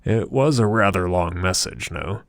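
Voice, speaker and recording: A man's voice: low-pitched, animated, unhurried, gravelly, no room sound, full character voice. A man voicing a snobbish, dismissive businessman with a plummy, condescending drawl. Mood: airily dismissive. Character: snobbish, dismissive British businessman, middle-aged.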